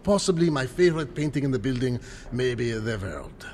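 A man's voice: mid-range voice, expressive, normal speed, darkish tone, long reverb tail, slight background noise, lightly acted. A man playing an eager, theatrical, erudite scientist-adventurer in an old-time radio style adventure drama. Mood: admiring, lecturing. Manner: dramatic, erudite, grandly enthusiastic.

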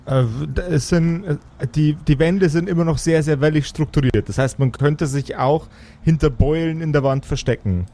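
Higher-voiced man narrating a game. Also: moderate pace, dark tone. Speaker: game master. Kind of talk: improvised tabletop game narration.

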